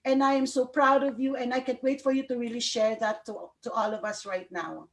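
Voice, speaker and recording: A woman's voice: a little high, steady tone, fairly quick. A woman hosting a live online talk show, bubbly and laughing often, with many fillers. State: sleepy. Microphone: video call.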